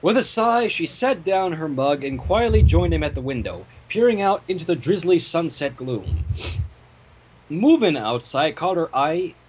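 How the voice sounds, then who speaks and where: A man with a fairly high, very animated voice reads a story aloud. He is expressive and drops in casual commentary. There is some noise in the recording. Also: normal speed, low-quality recording. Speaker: fan fiction reader. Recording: home microphone with clear noise.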